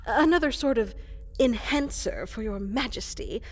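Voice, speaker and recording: A woman's voice: fairly high pitch, expressive, moderate pace. A woman playing a fluffy, woolly llama-like creature in a fantasy roleplay, eager to serve as an evil advisor, speaking with flustered, hesitant politeness. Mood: eager, deferential. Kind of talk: roleplay ASMR character acting. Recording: long reverberant hall echo.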